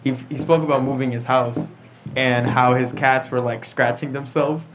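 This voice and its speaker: A man's voice: mid-range voice, fairly even intonation, normal speed, background noise. A teenage boy hosting a casual podcast chat, repeating himself as he steers the talk. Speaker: teen podcast host. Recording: dull lo-fi with loud music.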